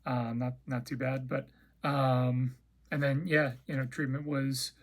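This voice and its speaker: A man with a raspy, slightly rough voice talks casually to his viewers. He pitches his voice in a middling range. He rambles, pauses on fillers and often restarts his sentences. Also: flat delivery, a little hiss or hum. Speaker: vlogger. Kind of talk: casual personal update chat.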